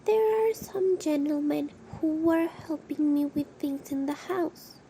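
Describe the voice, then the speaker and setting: A very high-pitched, slow-talking old farm grandmother, acted by a woman in a radio play. She is protective and anxious, fussing over family, on a slightly noisy recording. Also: dark tone. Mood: reassuring. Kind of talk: amateur radio drama acting.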